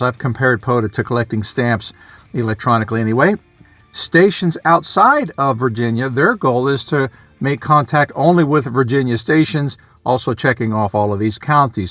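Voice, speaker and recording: A man's voice: mid-range voice, a bit bright, no room sound. Man explaining casually in a dry recording. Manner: conversational, thinking aloud, loosely explaining. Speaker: amateur radio hobbyist and video presenter. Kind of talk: casual unscripted explainer vlog.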